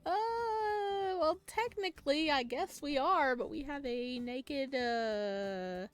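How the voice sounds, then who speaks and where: A woman reading a visual novel aloud as a let's player, casual and expressive, with jokey commentary. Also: high voice, takes it slowly, soft background music.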